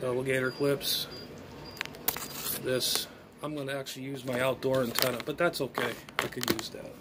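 Middle-aged male hobbyist vlogger, rambling and muttering as he thinks aloud, medium pitch, phone audio with noticeable noise. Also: little pitch movement, moderate pace. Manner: rambling, muttering to himself while handling items. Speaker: amateur radio hobbyist and vlogger. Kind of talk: casual unboxing vlog, thinking aloud. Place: small bedroom, indoors. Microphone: phone propped at arm's length. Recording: clear background noise, room ambience.

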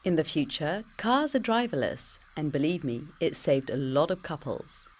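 A woman's voice: sits low, big pitch swings, moderate pace, darkish tone. A woman with a British accent voicing a computer-generated future self, cheeky, teasing and always dodging the question. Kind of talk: pre-recorded game dialogue.

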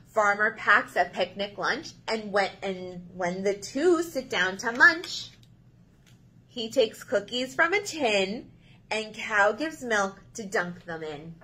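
A woman's voice: mid-range voice, takes it slowly, sharp tone, slightly rough. A woman in her thirties, a children's librarian reading aloud and leading rhymes in an encouraging, sing-song way. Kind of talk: children's storytime read-aloud and action rhyme. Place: small indoor room.

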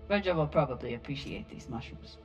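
An actor playing a steady, dry, matter-of-fact spaceship captain in a video game. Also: male voice, fairly high pitch, a little fast, lo-fi sound, soft background music, natural voice. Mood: calm.

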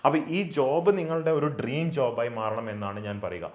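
A man's voice: mid-range voice, lively intonation, fairly quick, darkish tone, muffled recording. A young man runs through an exam prep lesson with a mid-range voice. He sounds persuasive and keeps tossing in rhetorical questions and tag questions. His voice bounces around an echoey room. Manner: persuasive, asks questions and answers them himself, many rhetorical tag questions. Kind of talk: explainer lesson on an exam, mixing English terms.

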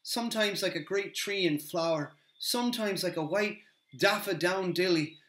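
Loyal humble hobbit servant, eager and rustic, pitched up, voiced by a man, roomy echo. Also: normal speed, fairly bright tone. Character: humble cheerful male hobbit gardener, loyal.

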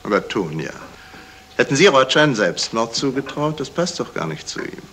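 An actor playing a polite, shrewd detective in a radio crime play, talking in a measured way with small hesitations. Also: male voice, medium pitch, very animated, bright, forceful tone. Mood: knowing. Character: courteous, shrewd male detective explaining a murder. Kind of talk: 1970s German radio crime play acting. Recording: noisy vintage radio play recording.

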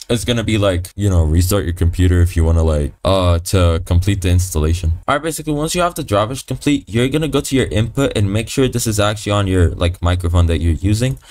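A young man giving a casual software tutorial as a voice-over, talking in an informal, slangy way and swearing. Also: lowish voice. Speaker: tutorial presenter. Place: voice-over on a computer screen recording.

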